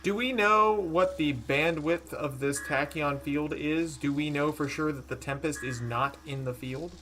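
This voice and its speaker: A man's voice: a little high, measured pace, pushed and bright, soft background music. A man chatting casually as a player in a tabletop role-playing game.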